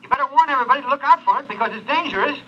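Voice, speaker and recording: An actor playing an alarmed zoo owner who urgently warns the police, in 1940s radio acting style. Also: male voice, extremely high pitch, expressive. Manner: urgent, hurried warning. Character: alarmed middle-aged male zoo owner. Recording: dull old radio transcription.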